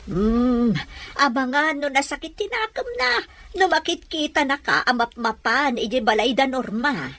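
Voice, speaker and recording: A woman's voice: high-pitched, sing-song, unhurried, fairly bright tone, dry recording, exaggerated voice. A woman playing a concerned, probing mother in an audio drama. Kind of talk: Ilocano audio drama dialogue.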